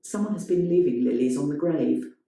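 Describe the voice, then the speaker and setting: A woman narrating a literary short story in a measured, dramatic manner. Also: deep voice, warm tone. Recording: strongly echoing, roomy sound.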